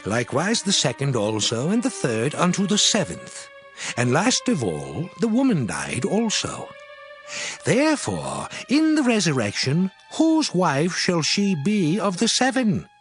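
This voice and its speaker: A man playing a formal, challenging religious elder of a sect in a dramatized Bible reading, with deliberate, theatrical, singsong delivery. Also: on the high side, huge pitch swings, faint music behind. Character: formal male Sadducee posing a challenging riddle.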